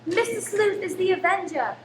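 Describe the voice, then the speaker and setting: A woman's voice: extremely high pitch, lively intonation, moderate pace. A woman playing an anxious, protective wife and mother in a radio play, with an urgent, dramatic delivery. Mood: frantic, urgent. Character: frantic wife and protective mother, fearing a killer. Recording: roomy, echoing, faint background noise.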